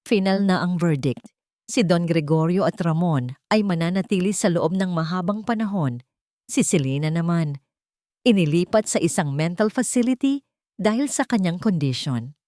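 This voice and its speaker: A woman's voice: on the low side, animated, normal speed, a little mellow, dry recording, clean studio sound. A woman narrating a scripted sentimental story as a storytelling host, in an earnest and formal manner.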